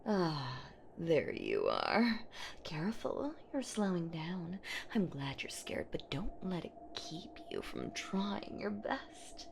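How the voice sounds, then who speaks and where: A woman's voice: fairly low pitch, wildly swooping pitch, slight background noise, heavily acted. A woman voicing an ancient female boogeywoman in a horror roleplay audio drama, predatory and possessive, with teasing, menacing delivery. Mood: gloating, excited. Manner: slow, teasing, menacing, breathy whispers and drawn-out words.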